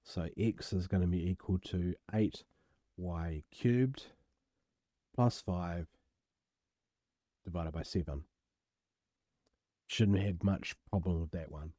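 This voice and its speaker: A man with a croaky voice, casually working through an algebra exam step by step as a tutor, recorded at home. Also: low-pitched, slow, long pauses, dark tone, no echo, clean studio sound. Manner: casual, self-deprecating, explains step by step. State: croaky voice from illness. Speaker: maths tutor. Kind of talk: worked exam walkthrough.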